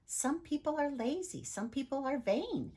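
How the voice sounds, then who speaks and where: A woman's voice: high-pitched, expressive, measured pace, warm tone, voice pushed up. A woman voicing a clever, bold young female troll in a storybook, brash and often sing-song like a rhyme. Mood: gleeful, sing-song.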